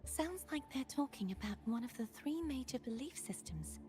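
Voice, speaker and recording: An actress playing a solemn young holy maiden in a fantasy video game, soft, breathy and subdued, with music behind her. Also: sits high, animated, moderate pace. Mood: calm, matter-of-fact.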